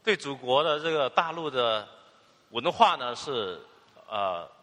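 Bright, lively sermon interpreter. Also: male voice, mid-range voice, unhurried, long reverb tail. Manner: fluent, with filler particles and restarts.

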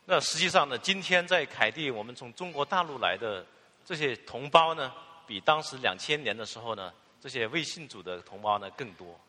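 Male sermon interpreter with a bright, animated voice, filler particles between phrases, echoing in a long-reverb church hall. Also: medium pitch, a little fast. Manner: fluent, with filler particles and restarts.

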